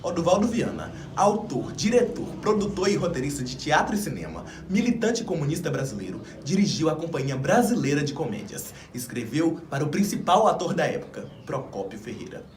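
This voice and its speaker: A man's voice: on the high side, big pitch swings, a little fast, hardly any pauses, a little mellow. A man with a Brazilian accent, an actor delivering a scripted theatrical monologue presentation. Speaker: stage actor. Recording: echoey room with faint background noise.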